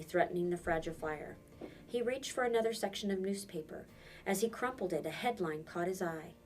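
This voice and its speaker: A woman's voice: on the low side, darkish tone, distant mic. A woman reads a children's novel aloud as a teacher would, expressively, acting out the dialogue.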